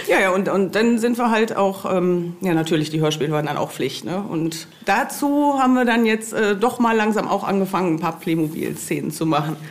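A woman's voice: mid-range voice, expressive, normal speed. Middle-aged woman chatting, amused and meandering. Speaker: podcast guest, fan. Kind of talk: casual chat, anecdotal.

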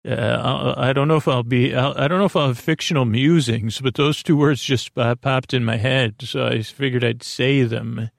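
A man with a creaky, gravelly voice hosts a sleep podcast, giving a soothing, meandering bedtime monologue with hesitant, repeating, lulling speech close to the microphone. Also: medium pitch, fairly even intonation, no echo. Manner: meandering, hesitant, repeats and stumbles over words; lulling, gentle, drawn-out delivery.

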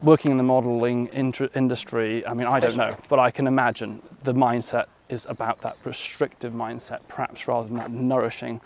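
A man's voice: medium pitch, flat delivery, fairly quick, hardly any pauses, a little hiss or hum. A man, hosting a casual walking interview outdoors in a park, reflective and fond of tag questions. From West Wales. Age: 30s. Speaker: podcast host.

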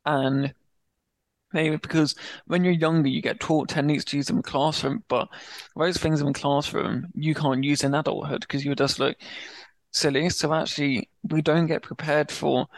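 A young man with a stammer, telling his personal story as a podcast guest and pacing his words slowly before speeding up. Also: fairly high pitch, little pitch movement, vocal fry, dry recording, rough recording. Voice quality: speaks with a stammer. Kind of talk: candid personal story in an interview.

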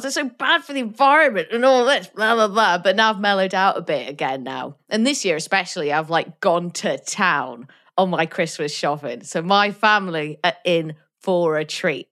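A woman's voice: mid-range voice, a bit bright. A woman from Wales, co-hosting a podcast in chatty, self-mocking talk and laughing often. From North Wales. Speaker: podcast co-host. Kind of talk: casual podcast chat.

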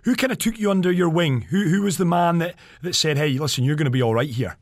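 A man in his thirties hosts a podcast chat. His voice sits on the high side and he interviews in a casual, chatty way. The sound is dry, with no room on it. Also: quick pace, no echo. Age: 30s.

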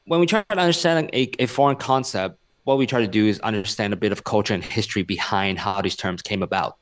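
A man in his thirties from Hong Kong speaks as an author being interviewed. His voice sits on the low side, and he explains things earnestly and patiently, often doubling words as he works out a thought. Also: fast talker. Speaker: author and guest.

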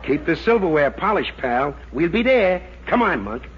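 An actor playing a jittery small-time crook sidekick, slangy and grumbling, in 1940s radio comedy style. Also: male voice, fairly high pitch, big pitch swings, normal speed, dull audio. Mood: game, conspiratorial. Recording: band-limited, faintly noisy 1940s radio recording.

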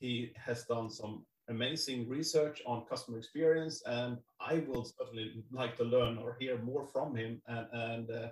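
A man's voice: medium pitch, fairly even intonation, normal speed, few pauses. A male podcast host asking questions hesitantly, with many fillers and repeated words. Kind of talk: casual interview hosting. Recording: echoey room, far from the mic.

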